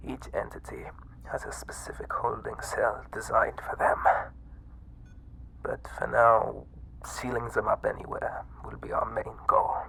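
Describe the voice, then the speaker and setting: A man voicing a frail, obsessive mad scientist with a breathy, halting delivery in an ASMR horror roleplay. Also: lowish voice, little pitch movement, rough recording. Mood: weary, grave. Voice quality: breathy, whispery. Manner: halting, theatrical. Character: weak, obsessive mad scientist doctor running a monster containment site.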